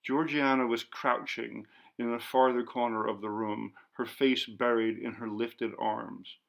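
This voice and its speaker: Male narrator, medium pitch, gravelly creak, measured, dramatic, scripted ghost story reading. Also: little pitch movement, highs cut off. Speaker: audiobook narrator.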